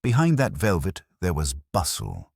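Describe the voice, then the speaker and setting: A man narrating a period comic mystery from a script in a wry, measured, literary manner. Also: deep voice, wildly swooping pitch, creaky, husky, no room sound, studio quality. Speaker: narrator of an audio mystery story.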